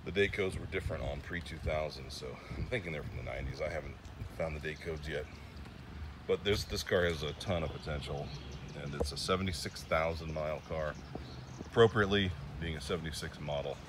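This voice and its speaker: Very deep-voiced man chatting over outdoor noise. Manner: rambling, chatty, pauses with fillers. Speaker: classic car vlogger. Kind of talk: casual walkaround narration. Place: outdoors by a car on a trailer.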